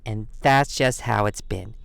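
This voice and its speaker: A man reading a children's picture book aloud as storyteller, expressive and given to dramatic pauses. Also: on the low side, creaky, clean tone, dry recording.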